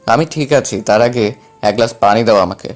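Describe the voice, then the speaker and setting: A man's voice: on the low side, brisk, soft background music, natural voice. A man playing a flustered, cheeky but timid younger brother in an acted audio story. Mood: casual, polite.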